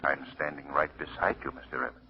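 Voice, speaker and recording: An actor playing an eerie, commanding invisible avenger in an old-time radio mystery, husky and deep on a muffled recording. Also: male voice, little pitch movement, quick pace, exaggerated voice.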